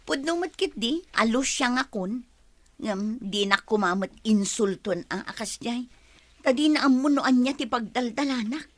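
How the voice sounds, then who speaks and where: A woman's voice: a little high, expressive, moderate pace, dry recording. A woman acting an exasperated, hardworking wife and mother in a radio drama, nagging with an Ilocano Filipino accent. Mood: weary, complaining. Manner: nagging, emphatic. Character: exasperated hardworking wife and mother scolding her lazy husband.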